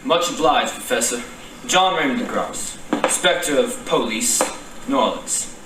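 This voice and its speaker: A man playing a polite, earnest police inspector in a radio play, with a medium-pitched voice. The sound is echoey and noisy, as from a stage at some distance. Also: expressive, measured pace, lightly acted. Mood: polite, reserved. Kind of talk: stage radio-drama acting.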